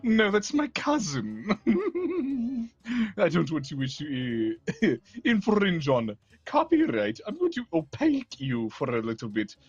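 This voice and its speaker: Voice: medium pitch, huge pitch swings, slow, a little mellow, husky, no room sound, lo-fi sound, exaggerated voice. Someone playing a cackling villain barber. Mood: gleeful menacing. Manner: archaic pompous speech, frequent cackles.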